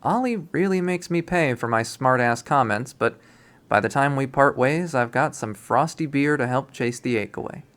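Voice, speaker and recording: A man reading a visual novel aloud in expressive first-person narration. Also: mid-range voice, moderate pace. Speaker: visual novel readthrough player.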